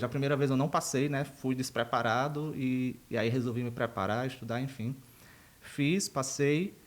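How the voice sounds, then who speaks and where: A man in his thirties, a university professor, chatting in a casual interview and wandering off into side stories. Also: medium pitch. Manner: chatty and digressive, laughs at himself. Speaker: university professor, podcast guest.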